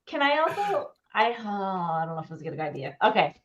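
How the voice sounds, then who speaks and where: A woman's voice: medium pitch, sing-song, fast talker, dull audio, crowd noise. A middle-aged woman chatting casually as a panelist on an online show, from a room at home on a video call. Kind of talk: casual chat.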